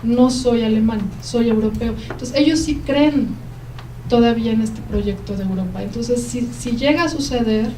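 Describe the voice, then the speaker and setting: A woman with a Mexican Spanish accent giving a university lecture, explaining at length and pausing often with "eh" and "em" as she answers questions. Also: a little high, mellow. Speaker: guest lecturer. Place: lecture hall. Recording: roomy hall, mic at a distance, faint noise.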